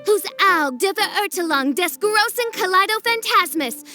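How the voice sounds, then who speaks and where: A woman's voice: very high voice, animated, moderate pace, bright, forceful tone, soft background music, heavily acted. A woman voicing a grandiose teenage girl who poses as a fantasy princess, with pompous, archaic, theatrical diction, in an anime game dub. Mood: boastful.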